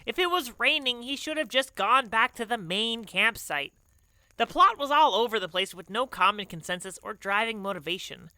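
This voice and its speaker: Bright, prim know-it-all camper girl played by a woman. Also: fairly high pitch, lively intonation, moderate pace, dry recording. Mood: critical. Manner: precise, pompous, exacting. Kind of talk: scripted audio drama dialogue.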